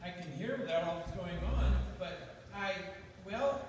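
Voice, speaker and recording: A man playing a dazed, distraught young lieutenant in a radio drama, speaking in halting fragments. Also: high voice, animated. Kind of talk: stage radio drama acting. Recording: echoey hall, far off, loud noise.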